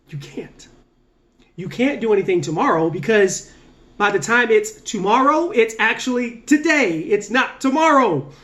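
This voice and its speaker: A man with a fairly high voice gives a casual motivational talk as a mindset coach. He is earnest and emphatic, lifting his voice on key points and drawing out the ends of phrases to drive them home. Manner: earnest, repetitive, emphatic with drawn-out slow endings; energetic, rising on key points.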